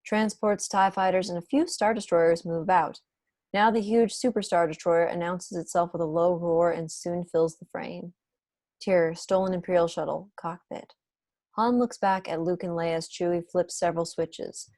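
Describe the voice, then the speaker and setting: A woman's voice: lowish voice, steady tone, normal speed, a little mellow, no echo. A woman reading screenplay stage directions as scripted narration in an online table read. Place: indoors on a video call.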